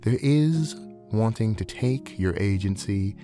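A man voicing a genteel, soothing, playfully predatory snake-creature counselor in a measured, eloquent roleplay audio. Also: lowish voice, expressive, warm tone, soft background music. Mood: soothing, thoughtful.

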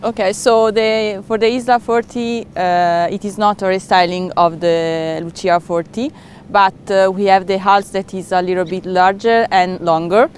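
A young woman, a boat dealer representative, explaining features in a halting, pausing way on a boat deck with a lapel mic. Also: mid-range voice, steady tone, slight background noise. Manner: halting, with many uh pauses and non-native phrasing. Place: outdoors on a catamaran deck by the sea.

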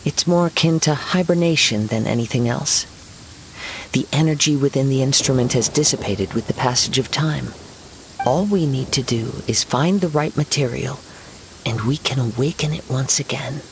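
A man voicing a gentle, serene young wandering poet swordsman in a game, with measured, formal, poetic phrasing. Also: mid-range voice, close to an everyday voice, low-quality recording. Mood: composed, assured. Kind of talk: scripted game dialogue, storytelling. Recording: steady rain noise behind voice.

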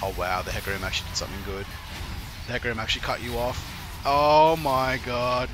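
A man's voice: medium pitch, steady tone, a little fast, background noise. A man in his thirties coaching on a stream, reviewing a player's replay with sarcastic, profane jokes, talking into a headset at home. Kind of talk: live-streamed replay commentary.

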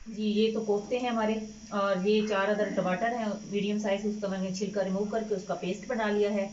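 A woman cooking-channel host explaining a home recipe step by step in a calm, unhurried way with frequent fillers. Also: mid-range voice, muffled recording, slight background noise. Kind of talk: recipe instruction over cooking footage. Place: home kitchen.

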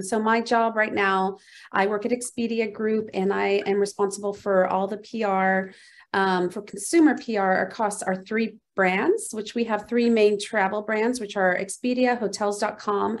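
A woman in her forties from Venezuela, a PR director speaking on a virtual panel over a video call, enthusiastic with many fillers. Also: medium pitch. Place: office at home, indoors.

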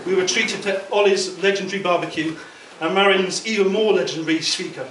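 A middle-aged man delivering a prepared, humorous best man speech at a wedding reception, telling anecdotes with measured pauses. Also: high voice. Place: indoor function room at a wedding reception. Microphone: handheld mic through a PA speaker, filmed from across the room. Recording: distant, echoey, very noisy room recording. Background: loud room noise and guests.

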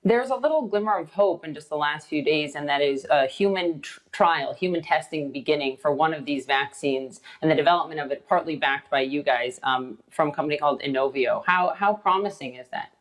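A woman's voice: sits low, fairly quick, hardly any pauses, rough recording. A woman interviewing a guest on television, asking probing questions and giving short affirmations while she listens.

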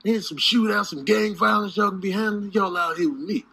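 A man's voice: sits high, brisk, vocal fry, bright, forceful tone, breathy edge, exaggerated voice. A man voicing an aggrieved alligator in a comic animal voice-over, grumbling and complaining. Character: aggrieved alligator hassled by police.

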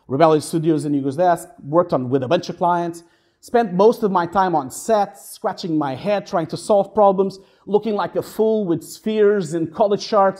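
A man in his forties from Portugal with a Portuguese accent, giving a lively, self-mocking conference workshop talk in a room with a handheld mic. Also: high-pitched. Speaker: workshop speaker, VFX supervisor.

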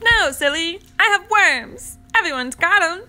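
Ditzy female cartoon diner, woman acting, breezy, high and very lively. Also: a little slow, over music.

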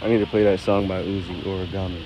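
A man's voice: deep voice, little pitch movement, fast, muffled recording, music in the background. A man vlogging as he walks through a park and temple grounds, joking and talking to himself. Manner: joking, talks to himself while walking. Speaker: travel vlogger. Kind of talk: casual vlog commentary. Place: outdoors on park paths and a temple grounds in hot weather. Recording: outdoor camera audio with background noise.

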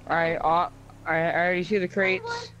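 A man's voice: high-pitched, huge pitch swings, normal speed, noisy background. A man talking casually in slang and giving directions during a roleplay video game.

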